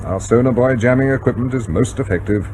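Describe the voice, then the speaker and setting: An actor playing a stern, exacting British submarine captain in a radio drama, speaking in a clipped, formal, authoritative way. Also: male voice, mid-range voice, brisk, noisy background, natural voice. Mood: crisp, assured.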